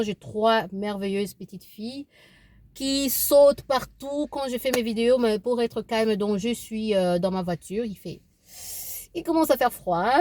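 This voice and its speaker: A woman's voice: mid-range voice, mellow, a little hiss or hum. A woman in her thirties from eastern Congo, whose first language is Kibembe, talking casually to camera from inside her car, repeating herself and restarting with many fillers. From eastern Congo (DRC). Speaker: vlogger. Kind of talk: casual opinion vlog.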